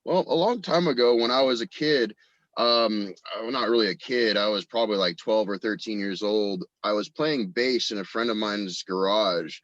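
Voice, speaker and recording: A man with a fairly low, bright and forceful voice shares personal anecdotes in a casual chat. He rambles informally and slips into little quoted snippets. The sound is close and dry, though a bit dull and lo-fi. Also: moderate pace, no echo. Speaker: podcast guest, audio drama actor and writer.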